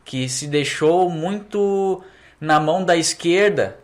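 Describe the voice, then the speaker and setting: A man talks casually about politics as a podcast host, haltingly restarting and hedging his points. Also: on the high side, a crowd in the background.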